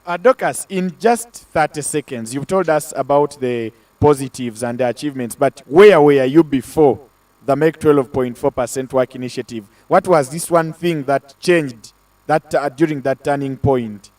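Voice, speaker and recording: A man moderating an online panel, loud and talkative, addressing the audience directly. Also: medium pitch, normal speed, distant mic, poor audio. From Africa.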